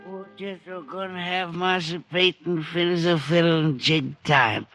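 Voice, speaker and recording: Humble country servant: highish gravelly voice, deferential, vintage radio with faint music. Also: male voice, steady tone, unhurried, fairly bright tone. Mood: gentle, deferential. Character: deferential, folksy rural servant man. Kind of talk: old-time radio acting.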